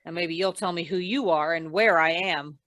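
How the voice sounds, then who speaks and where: Wry female private investigator played by an actress, radio noir, deadpan delivery, on the low side, very little room. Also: normal speed, no room sound, clean studio sound, lightly acted. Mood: dry, probing. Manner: deadpan, wisecracking. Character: wry hard-boiled female insurance investigator. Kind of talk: old-time radio noir detective acting.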